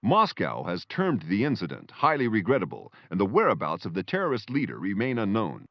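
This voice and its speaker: An actor playing a male news anchor in a video game, reading a scripted bulletin in a formal, measured announcer manner. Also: fairly low pitch, wildly swooping pitch, creaky, no room sound, exaggerated voice. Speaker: newsreader. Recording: dull, lo-fi game audio.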